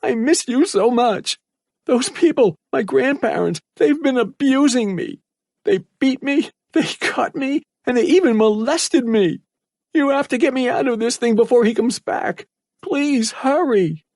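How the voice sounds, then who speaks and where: A man's voice: very high-pitched, animated, measured pace, a little mellow, no echo, voice pushed up, heavily acted. A man voicing a desperate, abused teenage boy who pleads in a trembling, breathless way. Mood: pleading.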